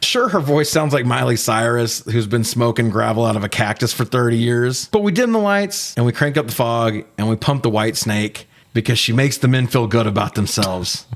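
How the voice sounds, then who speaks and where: A man's voice: mid-range voice, normal speed, few pauses. A man co-hosting a casual, comedic movie review, talking with sarcastic, profane joking. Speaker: podcast co-host reviewing movies.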